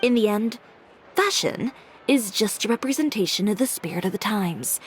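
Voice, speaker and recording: A woman's voice: medium pitch, lively intonation, normal speed, slight background noise, lightly acted. A woman voicing a composed, businesslike female fashion designer and shop owner in a game, dry and matter-of-fact. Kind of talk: game voice acting. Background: game music.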